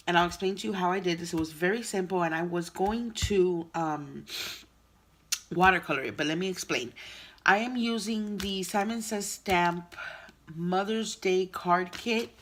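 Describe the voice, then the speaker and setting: A woman's voice: mid-range voice, a little hiss or hum. A woman hosting a card-making tutorial, chatty and explaining each step, sounding nasal from a cold. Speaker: craft channel host.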